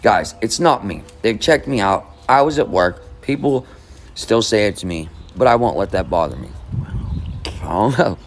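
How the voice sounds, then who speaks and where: A man's voice: fairly low pitch, expressive, moderate pace. Rambling skeptical man reading crime news. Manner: rambling, skeptical, hums between passages. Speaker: true crime channel host. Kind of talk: casual commentary reading an article aloud.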